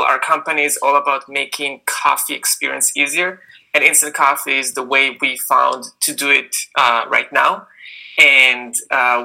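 Gravelly-voiced man with an Israeli accent. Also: mid-range voice, bright, forceful tone, low-quality recording. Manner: halting, many fillers. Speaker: guest, startup founder. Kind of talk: casual interview answers.

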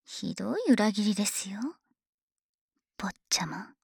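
A woman's voice: mid-range voice, very animated, measured pace, creaky, breathy edge, no echo, full character voice. A woman voicing a devoted, possessive dragon maid in an ASMR drama, speaking close to the mic in polite, coaxing, intimate words that often slip into whispers. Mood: reproachful, possessive. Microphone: close microphone.

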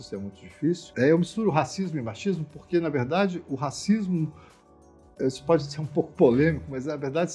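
A man's voice: medium pitch, mellow, breathy edge. A man with a Brazilian accent from Minas Gerais, explaining social issues informally in a reflective, emphatic way, often adding tag questions.

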